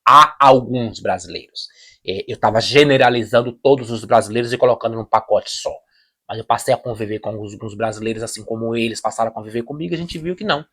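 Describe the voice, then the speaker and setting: A man with a Brazilian Portuguese accent talks through his views on camera. His voice sits in a middle pitch, and he leans on repetition and restarts as he makes his point. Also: quick pace, bright, forceful tone. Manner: emphatic, repeats phrases, restarts sentences. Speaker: reaction video host. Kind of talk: casual opinion commentary.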